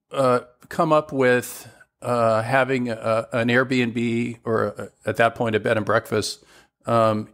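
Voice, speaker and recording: A male podcast host with a mid-range voice speaks in a conversational way. He asks questions haltingly and gives his guest steady encouraging affirmations. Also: medium pitch. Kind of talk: conversational interview.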